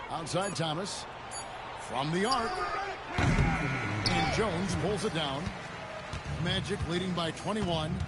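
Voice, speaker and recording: An excited male play-by-play announcer with a high voice calls basketball action in a sports video game. Loud music runs behind him, along with bouncing balls and slams. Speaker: play-by-play commentator. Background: music, bouncing basketball, dunks.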